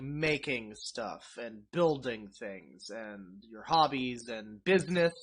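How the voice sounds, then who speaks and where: A man's voice: fairly high pitch, bright, forceful tone. A man streaming, rambling and mocking a video in casual commentary.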